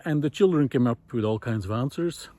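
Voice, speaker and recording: A man of ordinary middle pitch speaks while walking a forest path outdoors, recorded on a portable mic. He thinks aloud with frequent pauses and fillers, then pushes his voice up and louder when he reaches a point he cares about. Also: animated, no echo. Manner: thoughtful, many pauses and fillers, rising emphasis on key points. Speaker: nature video essayist. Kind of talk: reflective walking monologue.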